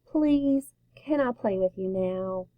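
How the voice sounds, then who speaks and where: A woman's voice: medium pitch, expressive, takes it slowly, dry recording, phone-quality sound. A woman voicing an eager little girl who plays a superhero, pleading and emphatic. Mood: playful.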